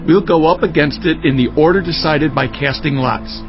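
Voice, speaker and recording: A man's voice: a little high, normal speed, muffled recording, music in the background, close to an everyday voice. A man playing an indignant Israelite warrior leader in dramatized scripture, declaiming emphatically. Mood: resolute, indignant.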